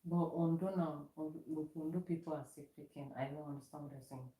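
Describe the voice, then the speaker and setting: A Nigerian woman from Oyo State with a Yoruba accent, whose first language is Yoruba. She chats casually and explains things slowly with examples. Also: deep voice, steady tone, warm tone. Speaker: salon owner. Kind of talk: casual chat. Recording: echoey room.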